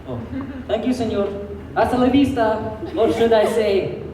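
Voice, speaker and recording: An actor on stage playing a polite, smug, melodramatic Spanish assassin who poses as a gardener. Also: male voice, high-pitched, close to an everyday voice. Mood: mock-polite. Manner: slow, mock-courteous, melodramatic. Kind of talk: comic stage acting. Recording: very echoey hall, distant and noisy.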